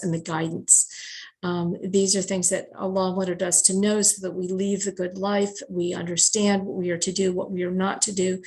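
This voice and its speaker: A woman's voice: medium pitch, darkish tone. A native English-speaking woman giving an informal talk on religious teachings, working through a numbered list in a conversational way with frequent fillers. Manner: conversational, many fillers, enumerating points. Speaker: speaker explaining Quran teachings.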